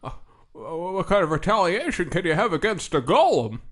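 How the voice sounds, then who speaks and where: A man puts on a bumbling, indulgent president for a comedy audio drama. The voice sits fairly high and swoops freely, hesitant and folksy, with a soft-hearted air. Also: sing-song, normal speed. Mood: doubtful.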